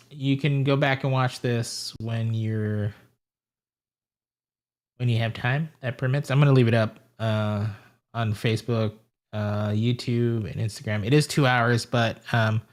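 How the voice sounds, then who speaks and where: A man's voice: medium pitch, steady tone, measured pace. A man hosting a casual live stream, greeting viewers and rambling aloud while he fiddles with settings. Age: adult with a wife and family.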